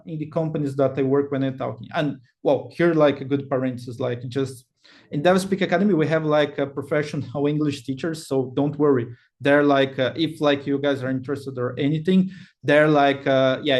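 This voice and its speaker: A man's voice: fairly high pitch, a little mellow. A man in his thirties with a Brazilian accent, giving a casual webinar talk with many fillers and restarts. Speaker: webinar speaker. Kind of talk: casual presentation.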